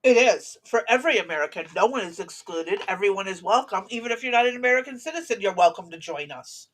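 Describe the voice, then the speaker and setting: A woman's voice: mid-range voice, animated, fast talker, low-quality recording. A woman hosting a casual political commentary show, speaking in a blunt, combative, profane way. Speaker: talk show host.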